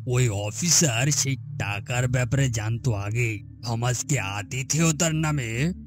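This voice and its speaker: Slow, grave ghostly gentleman, acted by a man. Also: mid-range voice, music in the background, natural voice. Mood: grim. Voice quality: grave, resonant voice. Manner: slow, formal storytelling. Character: mysterious gentleman ghost of a murdered businessman, grave, calm. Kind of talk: Bengali horror audio story acting.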